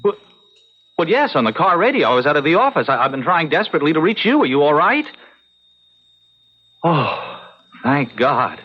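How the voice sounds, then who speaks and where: An actor playing an earnest, excitable young computer man in a radio drama. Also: fairly high pitch, normal speed, pauses often, slightly rough. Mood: anxious, urgent. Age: young adult. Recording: muffled, noisy old radio drama recording.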